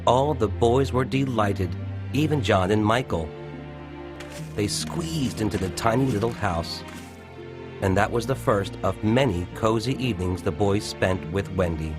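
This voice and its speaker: A man's voice: fairly low pitch, a little slow, over music. A narrator, a man, reading a scripted children's story.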